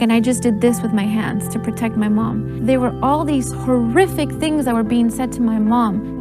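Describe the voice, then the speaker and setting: A woman interviewed in a documentary video, recounting personal memories vividly and acting out the voices she quotes. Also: a little high. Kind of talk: personal storytelling. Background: music.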